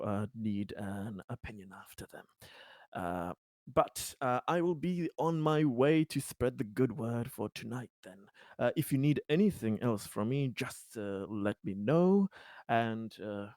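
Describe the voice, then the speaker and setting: A man's voice: mid-range voice, expressive, a little slow, darkish tone, no room sound, clean studio sound, close to an everyday voice. A man playing an eager, scheming political helper in a tabletop game, hesitant, polite and often stammering.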